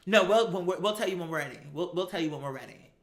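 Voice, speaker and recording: A woman telling a personal story in an animated, sassy, emphatic way, with slangy, profane language and acted-out dialogue. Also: fairly low pitch, very fast, echoey room. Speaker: storyteller recounting a personal experience.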